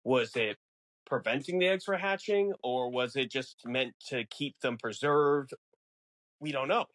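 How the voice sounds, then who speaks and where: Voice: medium pitch, unhurried, fairly bright tone. A podcast co-host in casual fan discussion, musing and posing questions aloud.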